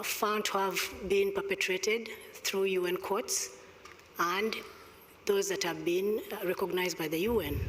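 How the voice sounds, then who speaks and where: A middle-aged woman with a Rwandan accent making emphatic, deliberate panel remarks into a table microphone. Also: medium pitch, fairly bright tone, slight background noise. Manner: emphatic, deliberate argument with pointed rhetorical questions. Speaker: conference panelist. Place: large indoor conference hall, on stage. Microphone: gooseneck table microphone. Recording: reverberant conference hall sound.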